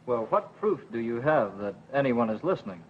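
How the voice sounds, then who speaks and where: A man's voice: mid-range voice, big pitch swings, moderate pace. A man working as a television interviewer, putting formal questions in a 1950s broadcast. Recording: muffled 1950s television recording, faint noise.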